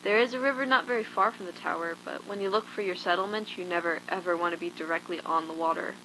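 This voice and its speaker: A woman's voice: on the low side, fast, pushed and bright, a little hiss or hum, poor audio. A woman playing a hardened, blunt zombie-apocalypse survivor, delivering a scripted survival log in an instructive, matter-of-fact way.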